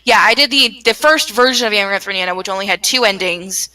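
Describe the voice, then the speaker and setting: A woman's voice: mid-range voice, fast, sharp tone, distant mic, low-quality recording. A woman in a casual chat about her creative projects, rambling and circling back on her words as she goes. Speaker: game developer, writer and voice actor.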